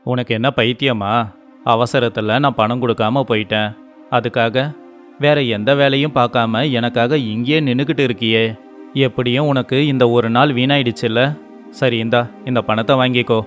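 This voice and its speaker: A man voicing a busy, authoritative doctor in a storybook tale, brisk in manner. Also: mid-range voice, fairly even intonation, soft background music, natural voice. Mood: surprised, brisk.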